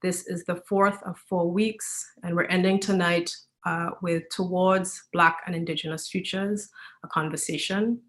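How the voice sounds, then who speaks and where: Woman hosting a discussion, on the low side. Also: fairly even intonation, measured pace, a bit bright. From Trinidad and Tobago. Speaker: host and moderator. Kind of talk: moderating an online panel discussion.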